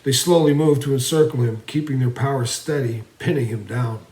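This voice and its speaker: A man narrating a fantasy story theatrically, swinging between emphatic and hushed delivery. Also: mid-range voice, a little fast. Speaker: storyteller reading own fantasy series. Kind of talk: dramatic fantasy audiobook narration. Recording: roomy, echoing voice recording.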